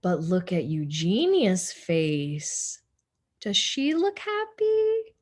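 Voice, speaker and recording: A woman teacher reading a storybook aloud to children, animated and full of asides and questions to her young listeners. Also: on the low side, very slow.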